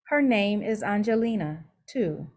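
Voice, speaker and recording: A woman's voice: mid-range voice, animated, measured pace, darkish tone. A woman voicing a friendly young immigrant schoolboy in a simple, careful storybook reading.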